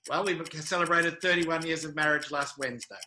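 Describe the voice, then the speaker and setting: A man's voice: fairly high pitch, fairly even intonation, brisk, pushed and bright, a little hiss or hum. A New Zealand man in his fifties, giving short, dry, good-humoured interview answers with hesitant fillers. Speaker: interview guest, travel sales manager.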